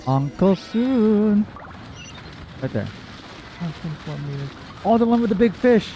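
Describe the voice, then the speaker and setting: A man's voice: high-pitched, animated, a little slow, a little hiss or hum. A man giving casual, playful gaming commentary with wry asides as he plays. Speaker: gaming streamer reacting to a story quest. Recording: slightly dull, faint game music.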